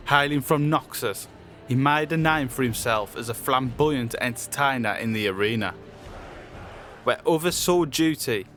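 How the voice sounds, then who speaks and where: A man's voice: mid-range voice, a bit bright. A man presenting a game character showcase in a scripted promotional narration style.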